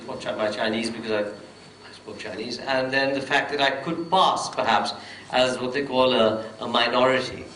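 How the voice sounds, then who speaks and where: A man on a festival panel telling a travel story at length, pausing often with hesitations and restarts. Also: mid-range voice, a bit bright. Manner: rambling, full of hesitations and restarts. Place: festival hall on stage. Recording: roomy distant hall mic with faint noise.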